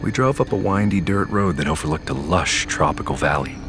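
Audio drama lead: haunted, weary man narrating, very low voice, solemn and measured, music underneath. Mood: grave, wondering. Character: weary, haunted man recounting his perilous journey. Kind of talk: audio fiction narration and acting.

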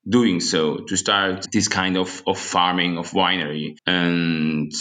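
A young man from Switzerland and South Tyrol, English not his first language, a winery estate manager speaking haltingly and searching for words as an interview guest. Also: sits low, level tone, bright, forceful tone. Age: young adult.

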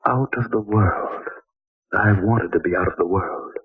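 An actor playing a brooding, guilt-haunted male chemist in an old-time radio horror drama, speaking in halting, repetitive monologue. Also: fairly low pitch, breathy edge, narrow, tinny audio, full character voice.

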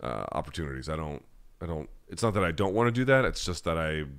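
A man's voice: very deep voice, animated, fast talker, fairly bright tone, clear, resonant tone. An American man hosting a live-chat podcast, answering listener questions in a blunt, conversational, opinionated way. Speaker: podcast host.

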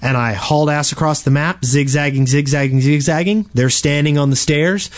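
A man's voice: a little high, moderate pace. A man co-hosting a casual gaming podcast, telling anecdotes in a rambling, conversational way. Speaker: podcast co-host.

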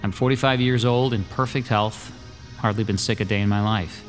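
남자가 연기하는 지친 40대 무명 화가. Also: male voice, on the low side, steady tone, moderate pace, faint music behind, natural voice. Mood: confiding. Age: 40s. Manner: weary, defensive, mildly sardonic. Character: struggling middle-aged male artist, weary and defensive. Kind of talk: radio drama acting.

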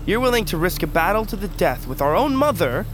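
An actor playing an alarmed, disapproving brother from a warrior pack in an immersive fantasy audio drama, intense and pleading. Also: male voice, sits high, sing-song, fairly quick, over music.

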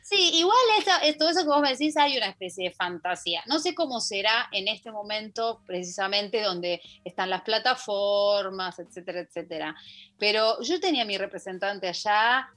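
A woman's voice: medium pitch, lively intonation, normal speed, a bit bright. An Argentine woman, middle-aged, chatting as a guest in a radio interview about her stage career, full of fillers and restarts. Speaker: guest, musical theatre actress. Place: radio studio.

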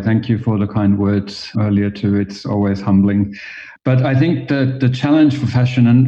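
Finnish-accented man, dark muffled voice. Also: fairly low pitch. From Finland. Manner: thoughtful, measured, with occasional restarts. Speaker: guest, fashion educator. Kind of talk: podcast interview.

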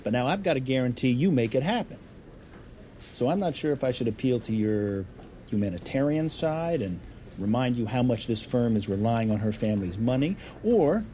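A man's voice: mid-range voice, warm tone, slight background noise, natural voice. An actor playing a smug, manipulative male boss in an audio drama, talking in a glib, domineering way. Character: Alan, smug, pressuring senior male boss. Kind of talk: naturalistic audio drama acting.

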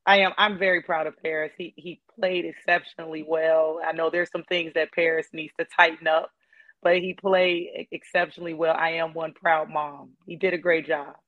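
A hoarse woman speaking as a guest in a casual interview, measured and pausing often with ums. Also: low voice, level tone, no echo. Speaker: guest, mother of a player. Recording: dull lo-fi sound.

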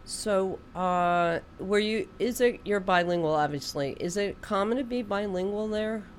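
A woman's voice: medium pitch, a little slow, slight background noise. A woman hosting a radio interview in a studio, chatty and rambling, often restarting her sentences. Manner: rambling, chatty, self-deprecating, with frequent restarts. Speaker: radio host. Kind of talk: casual interview chat.

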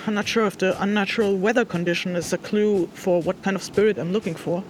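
A woman's voice: mid-range voice, fairly even intonation, fast, background noise, lightly acted. Woman as a wry, hesitant young spirit hunter. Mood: musing, uncertain. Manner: hesitant, thinking aloud, dry teasing. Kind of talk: first-person audio drama field log.

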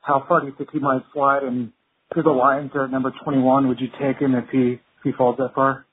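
A man's voice: medium pitch, flat delivery, fairly quick. A man working as a sports reporter, casually asking a question during a telephone conference call. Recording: tinny phone line, faint noise.

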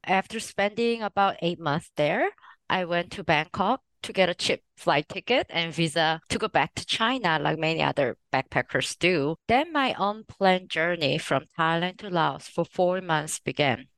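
A woman's voice: fairly low pitch, expressive, moderate pace, fairly bright tone, no room sound. A woman in her forties from Korea, a native Korean speaker, telling her story thoughtfully as a guest author with light laughs. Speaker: guest author and research professor. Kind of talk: podcast interview.

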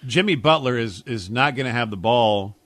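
A man's voice: medium pitch, lively intonation, normal speed, a bit bright, no room sound. An American man co-hosting sports talk radio with casual, opinionated analysis.

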